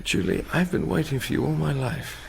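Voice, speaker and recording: An actor playing an educated, ardent young lover with an English accent, in naturalistic radio drama. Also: male voice, fairly high pitch, expressive, a little fast, gravelly, noisy background.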